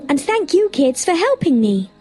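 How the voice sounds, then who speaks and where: A woman's voice: sits high, sing-song, moderate pace, mellow, soft background music. A woman playing a sweet, polite, innocent young princess in a children's fairy tale, earnest and full of wonder. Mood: grateful.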